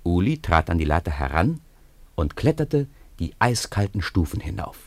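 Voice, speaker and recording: Deep, husky male storyteller. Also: sing-song, moderate pace, darkish tone, dry recording. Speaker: narrator. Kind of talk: scripted storybook narration in a radio play.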